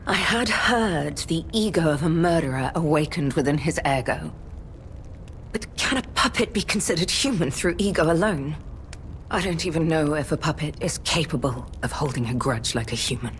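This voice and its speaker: Game cutscene role: battle-worn woman mentor, guilt-ridden yet resolute, measured and formal, lowish pitch. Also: lively intonation, slight background noise. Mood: bitter resolve. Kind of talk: dramatic video game cutscene acting.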